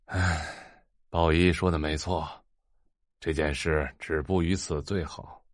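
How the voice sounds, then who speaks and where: A man's voice: rumbling low, flat delivery, slow, dry recording, clean studio sound. A man playing a weary, terse character in a family audio drama, with understated delivery. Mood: resigned. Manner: understated, brief replies.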